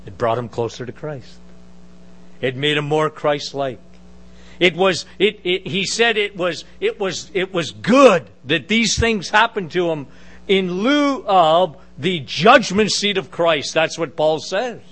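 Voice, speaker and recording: A middle-aged man with a big booming voice preaching a sermon, emphatic with conversational asides. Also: expressive, takes it slowly, a bit bright.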